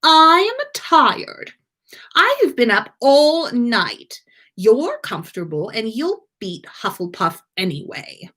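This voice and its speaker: Storybook schoolboy wizard, acted by a woman: snobbish, whiny, indignant drawl, raised pitch, swooping delivery. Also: sing-song, takes it slowly, a bit bright, heavily acted. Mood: sleepy grumbling. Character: teenage wizard boy, haughty, whiny and dramatic.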